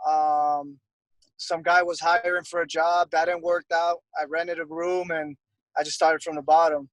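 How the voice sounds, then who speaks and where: A young man from Costa Rica chats easily as an interview guest, rambling with many fillers. His voice sits a little high for a man. The sound is dry and close. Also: flat delivery, unhurried, no echo, low-quality recording. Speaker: guest, airbrush artist. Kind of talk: casual interview chat.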